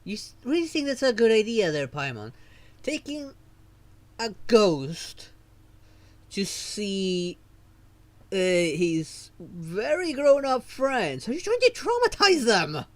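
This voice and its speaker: A man's voice: high voice, very animated, takes it slowly, dry recording. A man streaming a game, chatting casually with wry asides. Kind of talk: casual gameplay commentary. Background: faint game music.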